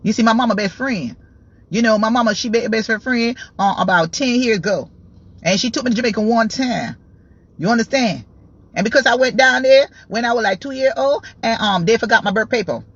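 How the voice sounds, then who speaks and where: A woman voicing a defiant woman who insists over and over that she is American, in a lower, dismissive tone. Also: fairly quick, husky, muffled recording.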